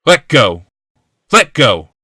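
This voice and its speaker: Audiobook role: sickly, embittered male inventor acted by a man, suspicious, explosive, sharp-edged delivery, dry close sound. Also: mid-range voice, sing-song, slow pace, breathy edge, no echo, voice pushed up, exaggerated voice. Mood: frantic. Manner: shouting, hissing, accusing outbursts. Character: bitter, paranoid, sickly male inventor in a wheeled chair.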